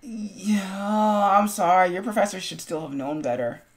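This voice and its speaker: A woman co-hosting a live stream in casual chat, expressive and quick to react with interjections. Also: medium pitch. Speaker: stream co-host.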